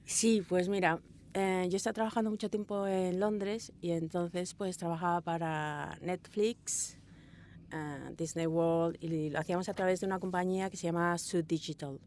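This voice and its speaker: Smooth, lowish woman's voice, enthusiastic. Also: steady tone, normal speed, darkish tone. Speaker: interview guest, audio fiction director. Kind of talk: casual interview talk. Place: small sound booth.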